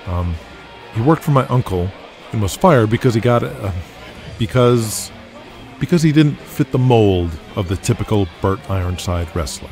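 A man's voice: lowish voice, expressive, normal speed, darkish tone, soft background music. A man voicing a respectful, starstruck young wrestling fan, hesitant and polite. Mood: hesitant, earnest.

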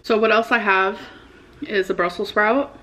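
A young American woman talks informally to her camera in a room at home. Her voice sits at a middle pitch for a woman. She speaks in a chatty, slangy vlog style, often dropping in "like". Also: fairly even intonation, measured pace, fairly bright tone, poor audio. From United States. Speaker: vlogger. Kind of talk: casual chat to camera. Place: indoor living room at home.

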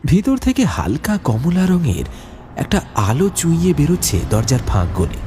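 A man's voice: a little high, wildly swooping pitch, moderate pace, a little hiss or hum. A man narrating a scripted horror story as a dramatic storyteller. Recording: loud music behind the voice.

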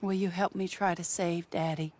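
An actress playing a genteel, earnest young American lady in a western video game, proper and gently pleading. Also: fairly low pitch, fairly even intonation, normal speed, close to an everyday voice. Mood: wistful, pleading. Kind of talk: video game cutscene dialogue.

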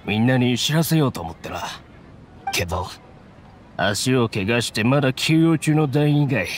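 A man playing an earnest, resigned mercenary with a rough, plain-spoken manner in a Japanese anime game. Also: mid-range voice, expressive, normal speed, slight background noise, close to an everyday voice.

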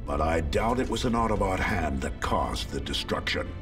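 A noble robot leader speaks with grave, deep authority. His lowish voice moves expressively. He sounds a little distant, with music behind. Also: animated, moderate pace.

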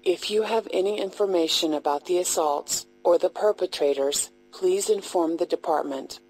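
A woman reading a scripted emergency announcement in a measured, impersonal, formal way. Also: on the low side, a little mellow, faint music behind, rough recording.

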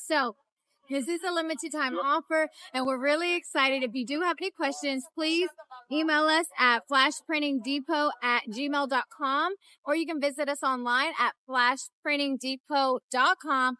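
Bright high-voiced woman hosting a vlog. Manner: upbeat, repeats phrases for emphasis. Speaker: vlog host at a trade expo. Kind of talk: casual product demo pitch. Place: busy indoor trade expo floor. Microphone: phone held at arm's length.

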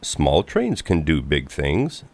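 Man voicing a plucky little girl train, lively intonation. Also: extremely low pitch, takes it slowly, gravelly, dry recording, pitched below the performer's own voice, heavily acted. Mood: determined. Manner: earnest, plucky. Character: small, eager, determined young female toy train engine.

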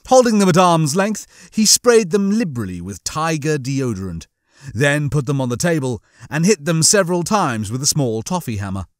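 A man reading comic fiction as a scripted audiobook, with a theatrical, expressive storytelling manner. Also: on the high side, moderate pace, no room sound, studio quality. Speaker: audiobook narrator.

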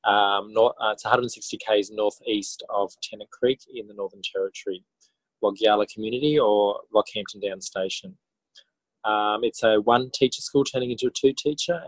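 An Australian man in his forties talks as a podcast guest. His voice sits fairly low, with a very dry sound. He tells things casually and reflectively, often pausing and rewording as he goes. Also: steady tone, dry recording, low-quality recording. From country New South Wales, Australia. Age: 40s. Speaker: interview guest, schoolteacher and author.

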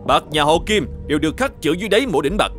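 A man's voice: fairly high pitch, sing-song, rapid-fire, fairly bright tone, faint music behind. A man voicing a stern, shrewd governor in a folk-tale cartoon, speaking in a commanding, formal and accusatory way. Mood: stern, accusing. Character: stern, shrewd male governor judging a murder case.

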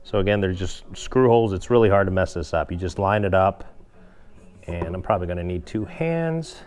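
A man's voice: deep voice, fairly quick, a little hiss or hum. A man casually walking viewers through a step-by-step install in a garage workshop, talking straight to them. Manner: relaxed, explains step by step, addresses viewers directly. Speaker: kayak fishing vlogger. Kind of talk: casual hands-on tutorial.

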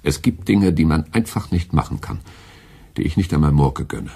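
An actor playing an exasperated, grudging radio executive with a gravelly, husky voice in a German radio drama. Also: male voice, very deep voice, big pitch swings, moderate pace, dark tone, exaggerated voice. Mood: resigned refusal.

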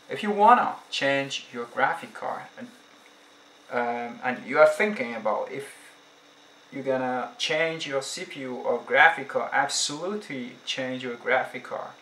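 A young man for whom English is not his first language, talking casually to camera as a gaming vlogger, slow and halting, with many fillers and restarts. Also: mid-range voice, pushed and bright. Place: small room indoors. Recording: echoey room, faint background noise.

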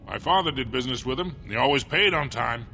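A man voicing a polite, salesmanlike dwarf armorer in a video game, with measured patter. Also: medium pitch, lively intonation, creaky, bright, forceful tone, soft background music. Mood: cautious, polite. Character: salesmanlike, cautious male dwarf armorer and merchant. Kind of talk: video game dialogue acting.

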